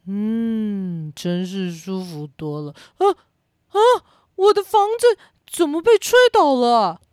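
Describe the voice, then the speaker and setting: A woman's voice: mid-range voice, big pitch swings, slow pace, a little mellow, smooth, dry recording, studio quality. A woman voicing a lazy, stubborn pig brother in a children's radio play, with a drawling, dismissive manner. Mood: startled.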